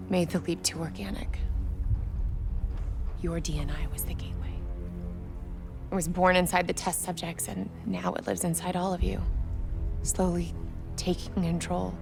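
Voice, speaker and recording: An actress playing a grave, caring intelligence agent with quiet urgency in a video game cutscene. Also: female voice, lowish voice, level tone, moderate pace, vocal fry, pauses often. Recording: music under the voice.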